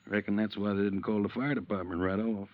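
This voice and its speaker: An actor playing a hearty, chatty insurance agent, in an old-time radio drama. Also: male voice, fairly low pitch, flat delivery, brisk, dry recording, natural voice. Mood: folksy, explaining. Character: stocky, folksy, talkative insurance agent in his late fifties. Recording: dull, muffled vintage radio recording.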